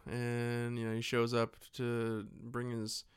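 A man's voice: mid-range voice, monotone, slow pace, smooth, no echo, clean studio sound. A man co-hosting a podcast, giving a casual film recap in an irreverent, mocking way. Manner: irreverent, mocking, mimics characters' lines. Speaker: podcast co-host. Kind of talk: casual film recap chat with acted-out dialogue.

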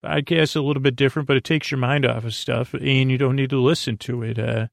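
A man hosting a bedtime podcast in a rambling, improvised monologue, hesitant and halting with false starts, musing whimsically as he goes. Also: medium pitch, fairly even intonation, vocal fry, no echo, studio quality. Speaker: podcast host.

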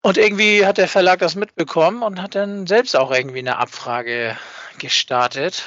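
A man talking casually on a podcast, haltingly, repeating words as he searches for the right phrasing. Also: sits high, fairly bright tone, no echo. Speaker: podcast speaker. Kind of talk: casual chat, recounting how a fan project began. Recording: slightly dull, dry voice recording.

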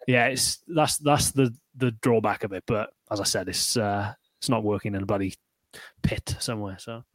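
A man's voice: lowish voice, fairly even intonation, normal speed, no echo. A Welsh man talking casually as a podcast guest, rambling with lots of fillers and restarts. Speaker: guitarist and YouTuber, guest.

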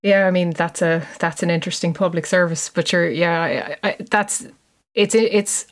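An Irish woman with a softened, neutral accent speaks reflectively, thinking aloud. Her voice is quite low for a woman. She sounds thoughtful and measured. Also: steady tone. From Limerick, Ireland. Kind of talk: casual chat.

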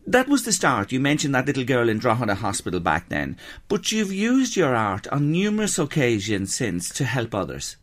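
A male radio presenter interviews a guest in the studio. His pitch moves in a lively way as he asks gentle, prompting questions. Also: normal speed, few pauses.

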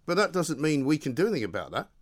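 A man's voice: fairly high pitch, sing-song, racing. A British man hosting a combative political interview, argumentative and opinionated as he challenges his guest. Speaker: radio talk show host interviewing a politician.

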